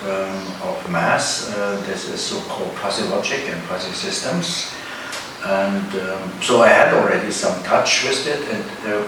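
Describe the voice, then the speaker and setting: Older man, low voice, echoey. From Germany. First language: German. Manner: halting, searching for words. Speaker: professor being interviewed. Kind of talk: interview answers. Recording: echoey room, distant mic, noisy.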